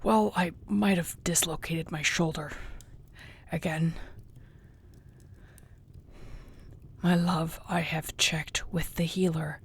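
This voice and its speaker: Husky, low-voiced battered orc girlfriend, acted by a woman. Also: fairly even intonation, unhurried, exaggerated voice. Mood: weary, stubborn. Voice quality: husky, breathy, gravelly. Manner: sighing, conversational, wearily understated. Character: battered tough orc girlfriend, affectionate and wry. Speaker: audio roleplay voice actor. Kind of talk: intimate one-sided audio roleplay.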